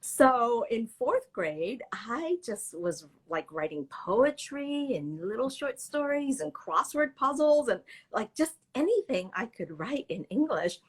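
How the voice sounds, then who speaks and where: A woman's voice: on the high side, very animated, slow, hardly any pauses, breathy edge. A middle-aged woman, a children's book author whose first language is Cantonese, chatting animatedly and laughing often in a live interview. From Canada. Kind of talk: casual live interview chat.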